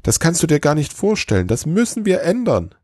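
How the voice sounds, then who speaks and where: A man voicing an eager, excitable chief Christmas elf in a storybook tale, breathless and gushing. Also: a little high, fairly quick, dry recording, clean studio sound, pitched above the performer's own voice. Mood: breathless excitement.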